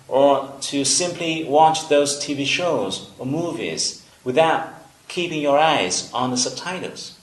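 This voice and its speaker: A man from Vietnam with a Vietnamese accent, a native Vietnamese speaker, teaching an English lesson in a deliberate, explanatory way with pauses between phrases. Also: fairly high pitch, a bit bright. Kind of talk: instructional video lesson. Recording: roomy, echoing room sound.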